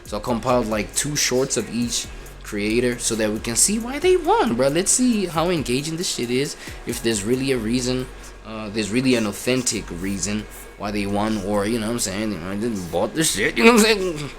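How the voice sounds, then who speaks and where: A man's voice: medium pitch, sing-song, moderate pace, faint music behind. A man streaming and reacting to clips, talking casually and rambling with lots of fillers. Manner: laid-back, rambling with constant fillers.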